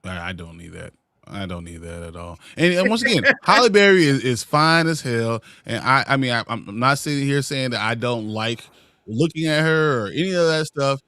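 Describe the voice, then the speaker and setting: A man co-hosting a casual chat show, ranting with animated, heated energy. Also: medium pitch, normal speed, no room sound, studio quality.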